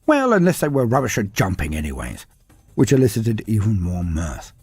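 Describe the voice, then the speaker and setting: A man narrating a scripted story in a dramatic, animated way. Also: fairly low pitch, normal speed, husky, no echo, soft background music.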